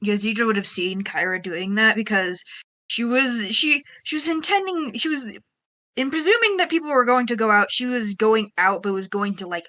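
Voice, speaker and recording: A woman player in a casual tabletop roleplaying game, explaining moves haltingly and repeating words as she goes. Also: a little high, sharp tone.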